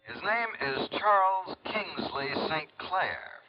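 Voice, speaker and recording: An actor playing a brisk, insistent insurance executive in a vintage radio drama, coaxing as he talks. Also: sits high, expressive, music in the background. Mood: businesslike. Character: pushy, brisk male insurance company executive. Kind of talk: 1950s radio drama acting. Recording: dull old radio recording.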